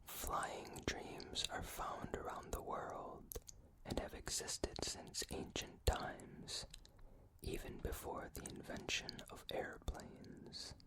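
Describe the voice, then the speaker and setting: A person whispers through an ASMR reading without ever voicing the words. The delivery is very slow and careful, broken into short phrases with pauses between them. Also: very high-pitched. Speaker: reader.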